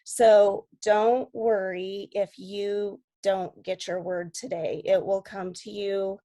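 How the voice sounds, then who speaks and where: A woman speaks on a video call, her voice a little raspy because she has a cold. She talks in an earnest, open, reassuring way, like a coach speaking to a group. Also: mid-range voice, level tone, slow pace, mellow. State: sick with a cold. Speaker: guest coach. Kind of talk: casual video-call talk.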